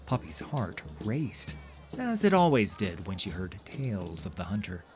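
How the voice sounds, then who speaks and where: Expressive low-voiced man narrating fantasy. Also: a little slow, faint music behind. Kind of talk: dramatic scripted fantasy story narration.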